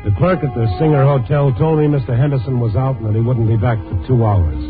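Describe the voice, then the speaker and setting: A man's voice: medium pitch, quick pace, music in the background, close to an everyday voice. A man playing a world-weary, probing newspaper reporter in a 1950s radio noir drama, on a tinny old recording. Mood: brooding. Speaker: narrator and lead actor. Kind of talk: 1950s radio noir acting, first-person narration.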